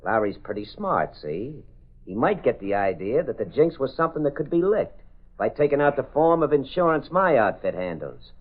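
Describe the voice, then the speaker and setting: A man's voice: medium pitch, very animated, normal speed. Casting: slangy American mobster, menacing tough-guy delivery, vintage radio-play style, narrow muffled sound. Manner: threatening, slangy, tough gangster talk. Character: menacing male racketeer ex-convict selling circus protection insurance. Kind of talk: 1930s radio drama acting. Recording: muffled, narrow-band old radio recording.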